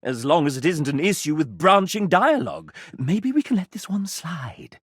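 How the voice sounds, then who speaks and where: A vain, flamboyant gentleman mascot from a video game, sassy and grandiose. The voice leaps wildly up and down, takes its time over flowery phrases, and has a husky edge, recorded very dry and close. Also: a little high, very animated, normal speed, no room sound. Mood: conspiratorial, blithe. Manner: pompous, flamboyant, old-fashioned gentlemanly phrasing. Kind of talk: video game character dialogue.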